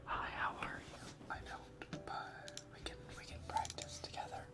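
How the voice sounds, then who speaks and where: A man streamer whispering playfully close to the mic, answering viewer requests. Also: moderate pace. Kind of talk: whispered ASMR chat with viewers.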